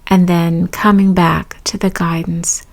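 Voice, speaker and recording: A woman meditation teacher narrating a guided practice in a gentle, measured way, with pauses between phrases. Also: on the low side, little pitch movement.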